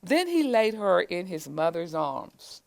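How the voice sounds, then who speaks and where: A woman reading a children's storybook aloud, with deliberate, expressive phrasing, as school staff do for young listeners. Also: on the low side, gravelly. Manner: deliberate, expressive phrasing with pauses to show pictures.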